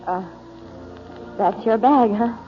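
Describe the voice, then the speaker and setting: A woman's voice: fairly high pitch, slow. An actress playing a timid, wistful young woman, hesitant, plain-spoken and eager to please, in a 1940s radio drama. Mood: shy, tentative. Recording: muffled 1940s radio broadcast with music.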